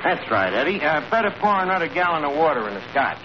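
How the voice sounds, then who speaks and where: An actor playing a brash, scheming tavern manager in a 1940s radio comedy, with a New York accent and a bluffing, word-mangling way of talking. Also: male voice, fairly high pitch, sing-song, fast. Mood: bluffing, flustered. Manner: bluffing, mangles grammar and fancy words. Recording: noisy muffled old radio broadcast, audience behind.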